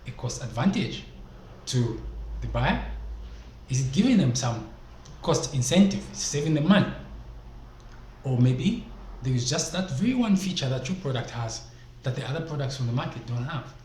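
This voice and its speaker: A man's voice: mid-range voice, darkish tone. A man from Zambia giving business advice as a vlogger, emphatic and fond of rhetorical questions, speaking into a desk microphone. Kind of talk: casual motivational talk to camera. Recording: echoey room, faint background noise.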